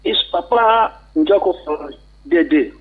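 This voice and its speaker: A man's voice: on the high side, animated, takes it slowly. A man with a Nigerian accent calling a radio show to give informal advice in Pidgin-tinged English. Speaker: phone-in caller. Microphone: telephone call. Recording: muffled, narrow phone line.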